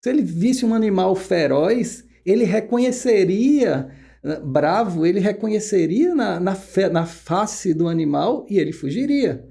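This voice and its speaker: Podcast guest expert: male, northeastern Brazilian accent, soft dark timbre with fry, explanatory and searching for words. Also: sits high, animated, unhurried. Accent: northeastern Brazilian, Pernambuco. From Pernambuco, northeastern Brazil.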